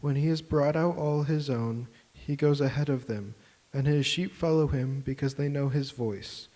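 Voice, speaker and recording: A man reading scripture aloud in church, measured and steady. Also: mid-range voice, low-quality recording. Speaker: scripture reader. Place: church sanctuary.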